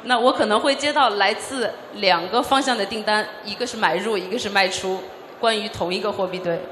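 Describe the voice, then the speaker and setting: A woman's voice: medium pitch, a bit bright, slight background noise. Woman interpreting in an echoey hall. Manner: measured, with frequent fillers. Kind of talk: consecutive interpreting. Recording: echoey hall with faint ambience.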